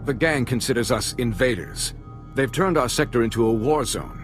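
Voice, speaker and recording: An actor playing a formal, earnest and worried ambassador in a video game. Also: male voice, mid-range voice, moderate pace, music in the background, natural voice. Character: troubled, formal male ambassador. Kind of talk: video game character dialogue.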